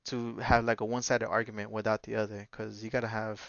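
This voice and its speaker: A man's voice: lowish voice, flat delivery, fast, gravelly, fairly bright tone, no echo, dull audio. A man in his thirties co-hosting a podcast, rambling confidently through his betting picks. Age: 30s. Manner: confident, rambling, repeats himself. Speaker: podcast co-host. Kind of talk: casual chat about sports bets.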